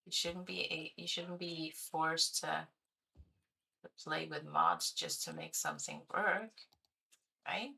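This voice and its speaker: Slow, gravelly woman streamer. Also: low voice, lively intonation, pushed and bright, low-quality recording. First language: Swedish. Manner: chatty, thinking aloud, hesitant with fillers. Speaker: game streamer. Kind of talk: casual livestream commentary while playing.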